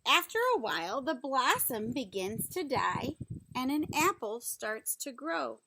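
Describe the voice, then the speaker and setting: A woman reads aloud to young children in a high voice with a noticeable creak. She takes her time, moving between the book's text and simple, instructional asides and questions. There is faint noise behind her. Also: expressive. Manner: simple, instructional, pausing to explain and ask questions. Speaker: teacher reading a picture book aloud to young children.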